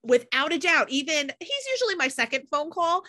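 Bright, higher-voiced woman around thirty. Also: very animated, fairly quick. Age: about 30. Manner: emphatic, frequent "like" filler. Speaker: podcast host. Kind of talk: casual chat.

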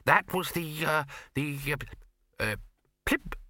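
Guy doing a nervous fussy college bursar, raised pitch, faltering, bright tone, storybook reading. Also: slow, no room sound, studio quality. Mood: uncertain. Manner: hesitant, faltering. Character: fussy, uncertain male university bursar, mild and deferential.

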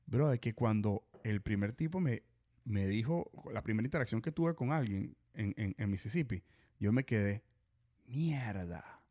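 A man's voice: fairly low pitch, expressive, moderate pace, warm tone. A man hosting a casual podcast conversation, with slangy, friendly banter. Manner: slangy, says brother a lot. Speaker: podcast host.

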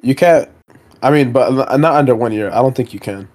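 A young man co-hosting a laid-back podcast chat, his voice sitting at an ordinary middle pitch. He explains things haltingly, restarting his sentences and circling back as he goes. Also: darkish tone. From Pakistani family background. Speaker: podcast co-host. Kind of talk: casual chat.

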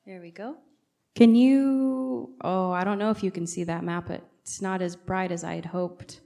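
A woman's voice: fairly low pitch, steady tone, unhurried, creaky, dark tone. A woman, a guest preacher and native English speaker, giving a conversational church sermon and often putting questions directly to the congregation. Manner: engages listeners with frequent direct questions.

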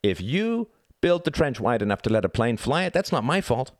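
A man's voice: medium pitch, sing-song, brisk, no echo, studio quality, voice pushed up, natural voice. A man voicing a gleeful, cheeky young boy in a storybook, breathless and grandiose. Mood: cheeky defiance.

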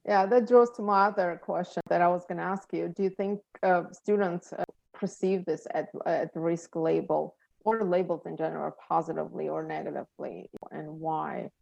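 A woman interviewer whose first language is not English, asking questions haltingly with many fillers and restarts. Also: on the low side, fairly even intonation, gravelly, a little mellow, dry recording, highs cut off. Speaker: interviewer, doctoral student. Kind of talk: academic interview.

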